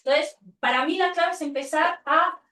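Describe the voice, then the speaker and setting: A woman's voice: very high voice, normal speed, sharp tone. A woman with an accent from Spain giving an informal, spontaneous seminar talk. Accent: Spanish, from Spain. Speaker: seminar speaker. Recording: echoey room, far from the mic.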